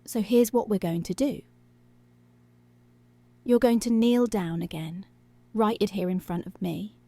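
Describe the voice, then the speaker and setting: A woman acts out a dominant policewoman in an ASMR roleplay. Her voice is breathy and soft-edged with a crackle of fry, often slipping into whispers. She speaks slowly and teasingly, very close and dry on the microphone, always in control. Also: mid-range voice, no room sound. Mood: intimate, commanding. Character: dominant, commanding, seductive policewoman.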